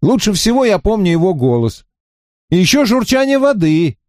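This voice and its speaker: An eager young wizard student, talkative and fervent, his voice lifted bright and high over a lively, swinging melody, as a male reader puts him on. The tone underneath stays mellow, and the recording is close and dry, with no room around it. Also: moderate pace, no echo. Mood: recalling. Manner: earnest, fervent, slightly mocking.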